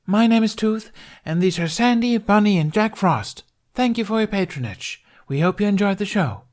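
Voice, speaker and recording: A man's voice: sits high, animated, normal speed, voice pushed up. A man voicing a tiny, bubbly woman bard in a storybook, gushing and eager. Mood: showy, welcoming.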